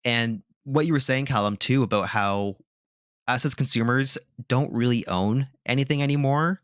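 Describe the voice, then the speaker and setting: A man's voice: mid-range voice, moderate pace, clear, resonant tone, dry recording, studio quality. A man hosting a gaming podcast, talking casually and giving his opinions with lots of filler words. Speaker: podcast host. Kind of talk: casual chat.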